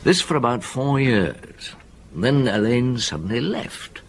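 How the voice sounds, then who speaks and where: An actor playing a seasoned, thoughtful police detective in a radio drama, measured and reflective as he recounts a case. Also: mid-range voice, sing-song, slight background noise.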